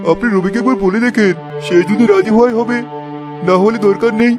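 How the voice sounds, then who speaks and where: A man's voice: sits high, fairly even intonation, a little fast, over music, lightly acted. A man voicing an obedient, eager village errand-runner in a cartoon. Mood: dutiful, eager. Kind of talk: Bengali cartoon voice acting.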